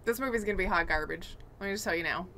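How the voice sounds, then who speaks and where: A woman's voice: lowish voice, rapid-fire, bright, forceful tone. A woman co-hosting a podcast, talking casually in a blunt, opinionated way. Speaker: podcast co-host. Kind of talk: casual chat about games and films.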